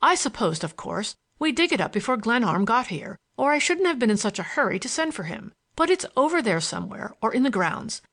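A woman's voice: on the high side, very animated, fairly quick, hardly any pauses, a bit bright, heavily acted. A woman voicing an impatient, imperious, scheming businessman with a curt, commanding manner in an audiobook.

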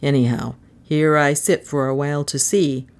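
A woman voicing a big, suspicious, self-satisfied policeman with a gruff, folksy way of talking in a storybook. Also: deep voice, fairly even intonation, moderate pace, a little mellow, pitched below the performer's own voice. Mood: suspicious, guarded. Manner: folksy, colloquial, gloating.

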